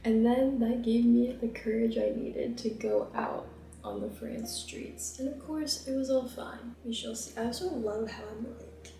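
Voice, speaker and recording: A woman's voice: fairly high pitch, steady tone, a little slow, vocal fry, mellow, slight background noise. A young woman vlogging casually while she cooks, chatty and rambling, with plenty of fillers. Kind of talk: casual chat while cooking. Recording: roomy kitchen, mic at a distance.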